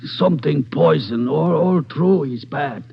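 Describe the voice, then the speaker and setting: An actor playing a bitter, ashamed older immigrant father, speaking haltingly and solemnly in a radio drama. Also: on the high side, vocal fry, slightly rough, exaggerated voice. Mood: grieving, vengeful. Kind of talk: 1950s radio drama acting. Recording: dull old radio recording.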